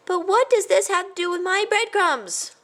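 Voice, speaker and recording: A woman's voice: very high voice, expressive, moderate pace, a bit bright, pitched above the performer's own voice, heavily acted. A woman voicing a kind, trusting boy mouse in a children's storybook, eager, naive and full of questions. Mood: curious.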